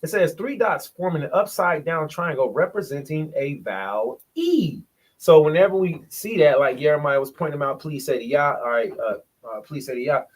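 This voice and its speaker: A man's voice: a little high, animated, moderate pace. A man presenting in a bible study, explaining points from a shared screen and sounding out syllables, often repeating himself.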